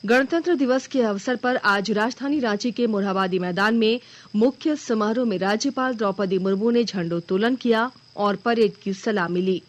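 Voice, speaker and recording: A woman news anchor reading a scripted regional bulletin in a formal, measured manner. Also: medium pitch, dull audio.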